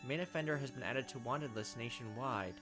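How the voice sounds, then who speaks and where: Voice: mid-range voice, brisk, music in the background. A let's play host reading a visual novel aloud and dropping in offhand, casual commentary. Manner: reads aloud and drops in offhand asides. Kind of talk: game narration with casual commentary.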